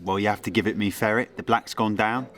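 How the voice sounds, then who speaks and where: A man's voice: on the low side, steady tone, quick pace, a bit bright, lightly acted. A man playing a sceptical young pool player in a comedy radio play.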